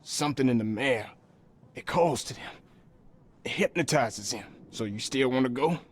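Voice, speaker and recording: An actor playing a grieving, humble local man in a video game, speaking quietly and pleadingly. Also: mid-range voice, animated, moderate pace, lightly acted.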